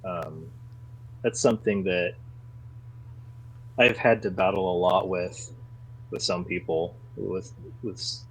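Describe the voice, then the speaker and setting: Low-voiced man, earnest and animated. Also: takes it slowly, long pauses, husky, poor audio. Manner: earnest, animated when defending his views. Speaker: podcast guest. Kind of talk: casual conversation.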